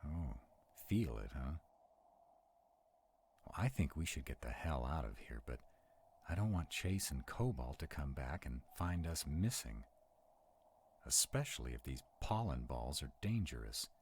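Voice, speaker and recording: A man playing a sarcastic, protective vampire in a roleplay audio drama, wary and quick-witted, talking to unseen companions. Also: extremely low pitch, sing-song, darkish tone, slight background noise, heavily acted. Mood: wary, uneasy.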